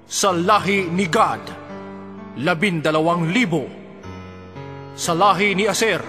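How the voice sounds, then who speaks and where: A man formally proclaiming a solemn scripted roll call in a Bible drama. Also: high voice. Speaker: announcer of tribes. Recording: long hall reverb under music. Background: music.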